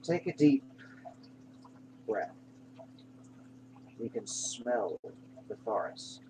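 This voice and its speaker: A man narrating a guided meditation in an unhurried, reassuring manner, pausing between short phrases. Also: medium pitch, animated, dark tone, low-quality recording. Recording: clear background hum and noise.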